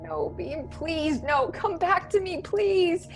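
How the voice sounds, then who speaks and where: A woman's voice: very high voice, slow pace, faint music behind. A young woman playing a grieving starship crew member in a science-fiction radio play, telling her story dramatically. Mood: anguished pleading. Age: young adult. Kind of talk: dramatic first-person radio play narration. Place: bedroom at home, video call. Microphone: earphone headset on video call.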